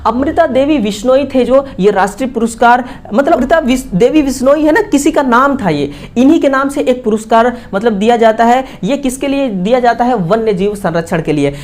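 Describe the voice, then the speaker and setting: A woman with an Indian accent teaching exam questions, repeating key facts as quick rhetorical questions with frequent checking tags. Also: a little high, expressive, darkish tone. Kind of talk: exam question analysis lesson.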